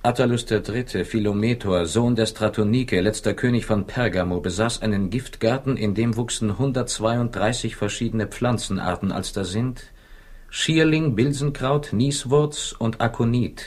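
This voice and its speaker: An actor playing an erudite, ironic investigator in a 1960s radio crime play, with a dry, deliberate delivery. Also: male voice, fairly low pitch, a little mellow, close to an everyday voice. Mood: measured, lecturing. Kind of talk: 1960s German-language radio crime drama acting. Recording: faintly noisy old radio recording.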